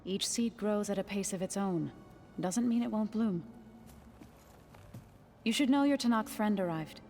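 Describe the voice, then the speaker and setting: A serene game lodge keeper, voiced by a woman, speaks gently with a long reverb and soft music behind her. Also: mid-range voice, a little slow, close to an everyday voice. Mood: calm. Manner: gentle, proverb-like calm.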